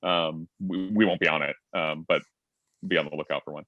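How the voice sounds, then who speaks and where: A man moderating an online fan convention panel over a video call, agreeable and full of fillers and quick yeps. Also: a bass voice, steady tone, no echo. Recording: slightly dull, dry online call audio.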